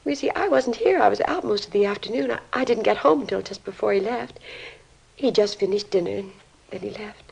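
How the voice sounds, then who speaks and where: An actress playing a distraught, disbelieving middle-aged American mother in an old radio crime drama, with a breathy, fragile voice. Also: medium pitch, a little fast, darkish tone, low-quality recording. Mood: dazed, sorrowful. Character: distraught, grieving mother of a teenage boy, disbelieving.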